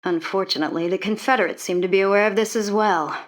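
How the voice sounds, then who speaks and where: A woman playing a brisk, direct military lieutenant giving a mission briefing in a video game. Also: mid-range voice, fairly even intonation, lightly acted. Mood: businesslike. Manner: direct, businesslike.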